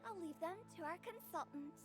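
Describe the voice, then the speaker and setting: A woman's voice: very high-pitched, a little fast. A woman playing a playful, mischievous young hostess in a game cutscene, with a teasing, theatrical delivery. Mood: easygoing. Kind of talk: animated game cutscene dialogue. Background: background music.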